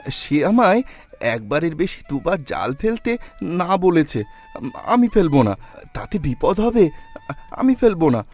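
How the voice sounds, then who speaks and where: A man's voice: a little high, sing-song, unhurried, long pauses, darkish tone. A man playing an anxious, obedient village boy in a Bengali cartoon, emphatic and pleading. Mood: worried refusal. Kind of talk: Bengali cartoon moral-story acting.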